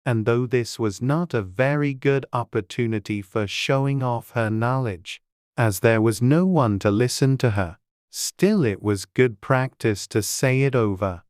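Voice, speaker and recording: Audiobook narrator, really smooth voice, dry close sound, measured storytelling. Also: medium pitch, darkish tone, no echo.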